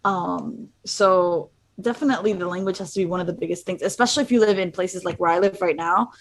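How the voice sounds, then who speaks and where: A woman's voice: lowish voice, fairly quick. A young woman from Miami, a student abroad, chatting casually as a guest and rambling with many fillers. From Miami, USA. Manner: rambling, heavy use of "like". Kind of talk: casual chat.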